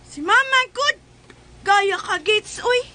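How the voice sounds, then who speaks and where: Super high cheeky kid, played by an actor in a comedy radio drama, slangy, pestering, really noisy audio. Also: takes it slowly. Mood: cheeky, teasing. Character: cheeky slangy little child pestering father for money.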